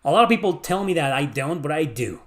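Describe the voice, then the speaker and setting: A man's voice: a little high, very animated, quick pace. A middle-aged man from Mexico with a Mexican accent, answering viewer questions in a blunt, profane, rambling casual chat.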